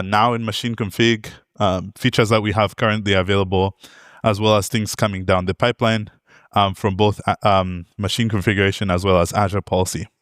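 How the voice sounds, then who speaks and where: A man's voice: lowish voice, a bit bright, smooth, dry recording, studio quality. A young man from Zambia giving a technical conference talk, friendly, with many ums and uhs and light jokes. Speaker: conference speaker, product manager. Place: conference hall.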